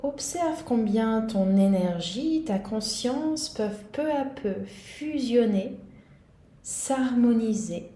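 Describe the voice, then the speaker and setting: A woman guiding a yoga nidra meditation in a calm, gentle, soothing manner. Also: a little high, slow pace, roomy sound. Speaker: meditation guide.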